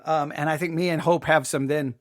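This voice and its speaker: A man's voice: a little high, steady tone, brisk, no room sound, studio quality. A man hosting a podcast with informal personal commentary, emphatic, often repeating and rephrasing himself. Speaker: podcast host.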